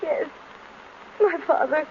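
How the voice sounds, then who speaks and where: An actress playing a timid, distraught young daughter with halting delivery in a 1930s radio drama. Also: female voice, high voice. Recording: dull, noisy old radio recording.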